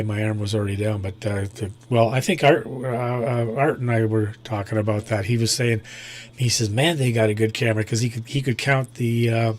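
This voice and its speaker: A man in a casual ham radio chat, telling things in a hesitant, meandering way. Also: fairly low pitch. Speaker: amateur radio operator.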